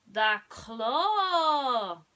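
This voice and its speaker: Woman voicing awed little toy alien, high and drawn-out. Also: big pitch swings, pushed and bright, clear, resonant tone, full character voice. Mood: excited awe. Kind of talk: bedtime story character voice.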